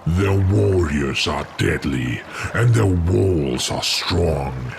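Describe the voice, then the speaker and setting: A man's voice: sits low, lively intonation, vocal fry, background noise, exaggerated voice. A man voicing a cruel, scheming orc warlock in a fantasy game, sneering and imperious. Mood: scheming, instructing. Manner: sneering, imperious, deliberate menace. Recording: loud music under the voice.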